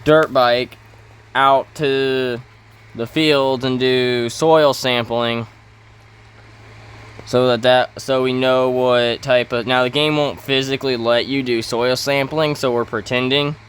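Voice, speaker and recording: A man giving casual role-play gameplay commentary in a halting way, thinking aloud as he hosts. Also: medium pitch, fairly even intonation, a bit bright, smooth. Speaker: gaming video host.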